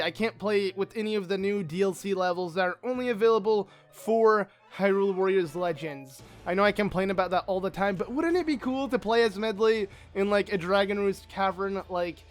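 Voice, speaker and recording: High-voiced man with a hoarse voice, excitable. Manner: excitable, rambling with many fillers. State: sick, slightly hoarse voice. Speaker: let's play host. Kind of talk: casual gameplay commentary.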